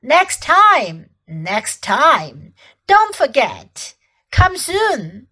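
A woman voicing a cold, scheming queen witch who coaxes in a smooth, conspiratorial way in an audiobook. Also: sits high, sing-song, takes it slowly, a bit bright, heavily acted. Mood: teasing. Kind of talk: audiobook character voice.

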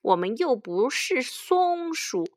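A sneering male weasel bandit chief from a children's storybook, acted out by a woman. He is greedy and boastful, jeering loudly at his prey in a raised pitch, recorded close and dry. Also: big pitch swings, slow, dry recording, full character voice. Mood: mocking.

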